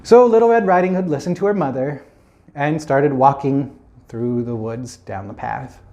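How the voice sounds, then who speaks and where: A man's voice: mid-range voice, moderate pace, mellow, lo-fi sound. A man telling a children's fairy tale informally, as a storyteller, with playful, chatty asides.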